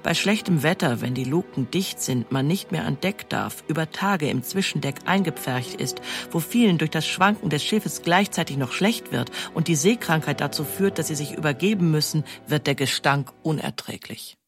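A woman's voice: deep voice, animated, moderate pace, hardly any pauses, soft background music. A woman giving scripted documentary narration as the narrator of an audio feature.

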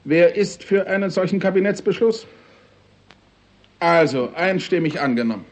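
Sly premier running a meeting, highish male voice, formal n bossy, old radio play. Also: expressive. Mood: commanding, suave. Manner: formal, measured, officious. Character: smooth, cunning, commanding male prime minister. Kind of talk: 1960s German radio drama acting.

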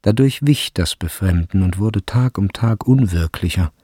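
A man with a husky, gravelly voice narrating a literary radio drama from a script. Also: deep voice, moderate pace, mellow, dry recording, clean studio sound. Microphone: close, dry studio recording.

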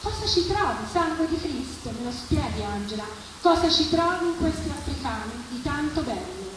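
A woman's voice: high-pitched, expressive, moderate pace. A woman reading the part of an angry, contemptuous husband in a story, indignant and emphatic. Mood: angry disbelief. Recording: echoey, distant, noisy live event recording.

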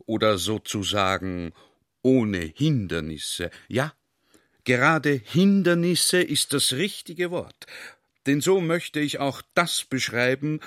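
Slow, courteous old-world general. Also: male voice, mid-range voice, fairly bright tone, no room sound, studio quality. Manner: deliberate, formal, ornate politeness. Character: courteous, cautious military general of imperial Austria. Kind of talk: radio play acting.

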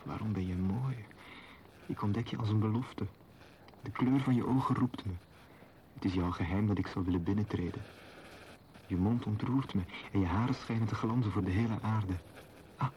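An actor playing a tender, yearning lover, speaking intimately and earnestly in a lyrical radio play style. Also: male voice, lowish voice, normal speed, pauses often, mellow, a little hiss or hum, natural voice. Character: tender, yearning male lover confessing himself. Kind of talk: poetic radio play dialogue.

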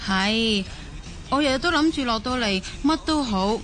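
A woman's voice: on the high side, expressive, moderate pace, a bit bright, soft background music. A woman acting a discontented, outspoken young wife and mother from the mainland in a Cantonese radio drama. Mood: doubting, plaintive. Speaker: voice actor in an audio drama.

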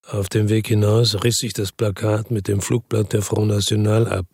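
An actor playing a world-weary, bitter crime investigator who narrates and talks tersely in a radio drama, with a gravelly, husky, deadpan voice. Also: male voice, fairly low pitch, moderate pace, no room sound, clean studio sound. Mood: dry, reflective. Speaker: first-person narrator and lead character in a radio drama.

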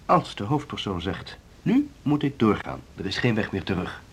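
An actor playing a calm, calculating male confidant in a Dutch radio play, on a noisy recording. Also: lowish voice, normal speed, husky.

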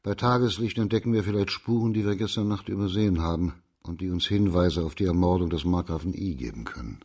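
A low-voiced, slightly rough judge from ancient China in a radio crime drama. He speaks formally and with authority, probing and commanding. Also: quick pace, a little mellow. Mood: composed. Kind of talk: radio play acting.

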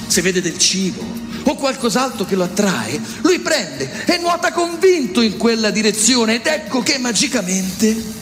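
A man giving an expressive, pausing dramatic reading of an essay on a radio show. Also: sits high. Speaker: reader. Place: radio studio. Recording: radio broadcast with music behind.

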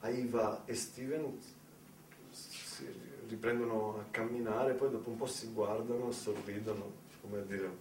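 A man delivering a conversational theatrical monologue in speech, with dramatic pauses and asides to the listener. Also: lowish voice, fairly even intonation, a little mellow. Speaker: solo stage storyteller. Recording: roomy, distant mic, faint noise.

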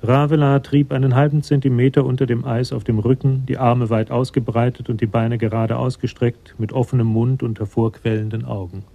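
Male storyteller for radio drama, scripted narration, medium-pitched, relaxed tone with a gravelly edge. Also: brisk, hardly any pauses, highs cut off.

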